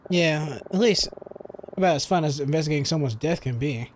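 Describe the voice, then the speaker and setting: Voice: mid-range voice, brisk, a little hiss or hum, natural voice. A game player voicing a weary, reluctant student amateur detective in a visual novel. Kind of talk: reading visual novel dialogue aloud. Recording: game music behind the voice.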